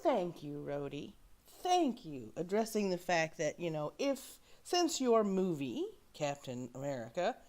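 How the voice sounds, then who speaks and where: A woman's voice: lowish voice, big pitch swings, unhurried, darkish tone. A woman hosting a reaction show, giving casual, opinionated and critical commentary on a film clip, stressing key words.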